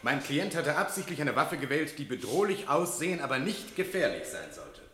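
A man acting a combative defence lawyer in a German radio play, forceful and cutting. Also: on the high side, sing-song, moderate pace, a little hiss or hum. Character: combative, sharp male defence lawyer objecting in court. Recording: roomy, reverberant courtroom sound.

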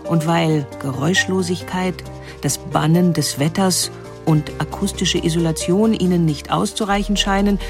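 A woman narrating a scripted historical story in a measured, articulate way. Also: lowish voice. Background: music under the voice.

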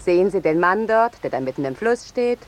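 Young female war correspondent played by a woman, brisk and scornful, lively intonation, vintage radio play with slight noise. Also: lowish voice, natural voice. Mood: brisk, impatient. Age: young adult. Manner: brisk, matter-of-fact, bossy, scornful. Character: brash, pushy young female war correspondent hungry for scandal. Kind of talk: 1950s German radio play acting. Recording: noisy 1950s radio drama recording.

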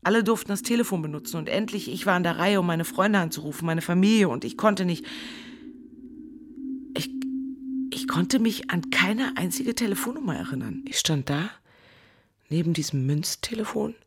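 Low-voiced, earnest woman survivor. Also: expressive, normal speed. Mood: shaken, halting. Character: desperate, devout female bus driver fleeing a disaster. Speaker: actress in a radio drama. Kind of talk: dramatic first-person monologue.

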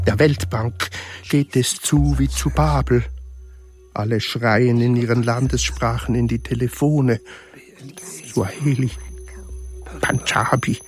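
A man narrating a radio drama in a grave, measured, solemn way. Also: medium pitch, darkish tone. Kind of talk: slow, solemn literary narration.

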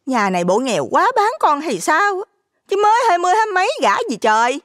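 A high-pitched, shameless village gossip in her fifties , played by a woman, scolds in a Southern Vietnamese accent. Her delivery swings widely in pitch, brazen and cutting, as a character in a narrated rural story. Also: very animated, fairly quick, fairly bright tone, dry recording, clean studio sound. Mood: scornful. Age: middle-aged.

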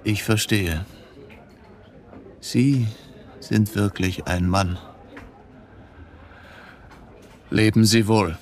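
An actor playing a distraught, defensive husband accused of murder in a German radio drama, halting and incredulous. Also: male voice, fairly low pitch. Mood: resigned.

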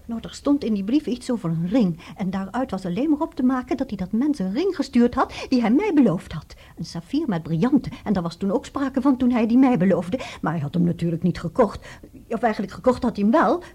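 An actress with a Netherlandic Dutch accent playing an indignant, flustered betrayed wife in a radio comedy, speaking haltingly. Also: female voice, on the high side, expressive, dark tone, breathy edge. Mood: hurt, indignant. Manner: halting, flustered, restarts sentences. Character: upset, indignant betrayed wife seeking divorce.

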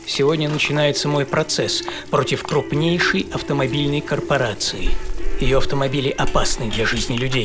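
An actor playing a young idealistic lawyer in a theatrical, intense radio monologue with deliberate pauses. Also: male voice, a little high, lightly acted. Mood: sombre, resolute. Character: young idealistic American lawyer, a fiery crusader recounting his fight. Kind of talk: dramatic first-person radio monologue in Russian. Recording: studio voice over prominent music. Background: music.

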